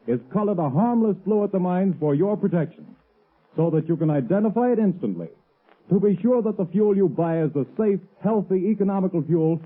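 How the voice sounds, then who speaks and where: Male 1930s announcer, high and declamatory sales read, slightly rough voice, thin phone-like band. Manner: declamatory, emphatic. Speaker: announcer reading a sponsor's ad. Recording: tinny old radio recording.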